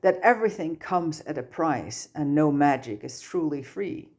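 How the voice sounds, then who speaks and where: A woman's voice: low-pitched, animated, normal speed, a little mellow. An earnest, dramatic teenage schoolboy wizard from a storybook, as read by a woman. He sounds clever and sincere, a bit lost when it comes to people. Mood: musing.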